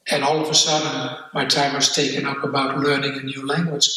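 Dutch-accented man, bright and echoey. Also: medium pitch, flat delivery, distant mic. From Netherlands. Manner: candid, halting, repeats words. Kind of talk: personal reflective testimony. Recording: echoey room, off-mic.

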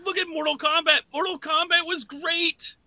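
A man hosting a podcast, chatting casually and enthusiastically in an animated way. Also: very high-pitched, normal speed, no echo. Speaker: podcast host.

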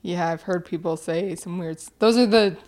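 A woman's voice: lowish voice, moderate pace, darkish tone. A woman chatting casually as a podcast co-host, with a teasing, playful manner. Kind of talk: casual chat.

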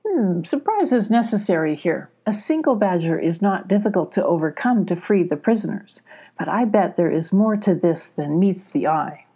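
A woman voicing a calm, calculating male leader in a storybook, speaking in a measured, deliberate way. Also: on the low side, husky. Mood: calm, planning.

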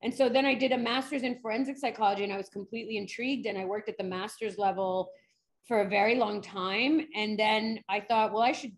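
A woman's voice: mid-range voice, fairly even intonation, fairly quick. A woman from New York, a forensic psychologist chatting candidly in an interview, laughing often. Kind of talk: casual interview chat.

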